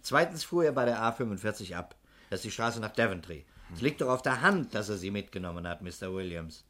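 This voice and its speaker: An actor playing a shrewd, composed insurance investigator in a 1960s German radio crime drama, methodical and politely insistent. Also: male voice, on the low side, expressive, fairly quick, a bit bright. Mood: pressing, stern.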